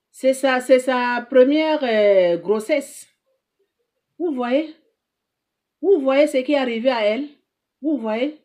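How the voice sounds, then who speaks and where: A woman's voice: on the high side, a little mellow, dull audio. Emphatic preachy woman. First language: not French. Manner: emphatic, preachy, repeats herself, rhetorical questions. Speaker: advice show host. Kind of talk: advice monologue to mothers.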